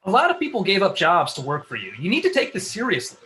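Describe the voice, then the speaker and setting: A man's voice: sits high, wildly swooping pitch, fast, sharp tone, full character voice. A man playing an exasperated, sensible show staffer with a dry, sarcastic manner, in a comedy table read. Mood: stern.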